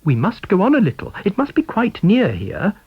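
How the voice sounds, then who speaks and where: A man's voice: high voice, huge pitch swings, moderate pace, husky, muffled recording, pitched above the performer's own voice, exaggerated voice. A man voicing a flustered, fussy woman witness, earnest, talkative and dithering. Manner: dithering, emphatic.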